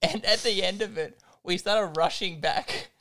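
A man's voice: high-pitched, unhurried, sharp tone. A man with an Australian accent, chatting casually and often laughing. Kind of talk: casual chat.

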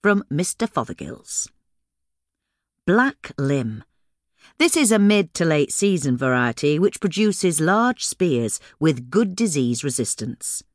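A woman reading a scripted instructional guide in a measured, clearly enunciated way. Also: low voice, big pitch swings, no room sound, clean studio sound. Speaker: reader of a gardening guide.

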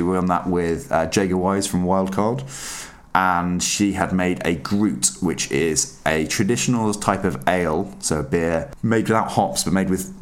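A man with a cold, speaking as a podcast guest and explaining things in a relaxed way with lots of fillers and restarts. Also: deep voice. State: under the weather, voice deeper than usual. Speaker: podcast guest, mead maker. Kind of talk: casual podcast chat.

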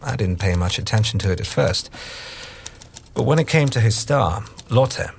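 Circus manager, low voice, gravelly, reflective audio play monologue, faint background noise. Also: male voice, lively intonation, normal speed. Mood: reflective recounting. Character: male journalist turned circus troupe manager, recounting his past. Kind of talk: dramatised first-person monologue in an audio play.

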